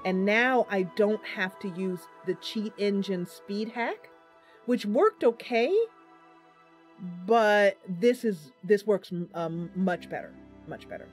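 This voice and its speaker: A woman's voice: mid-range voice, takes it slowly, faint music behind. A woman giving casual live commentary as she plays and narrates a roleplaying game. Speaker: game streamer.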